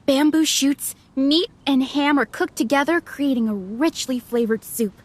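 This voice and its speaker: A woman's voice: high voice, measured pace. A woman voicing a polite young female server at an inn, describing dishes to customers in a video game. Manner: polite, attentive, descriptive sales patter.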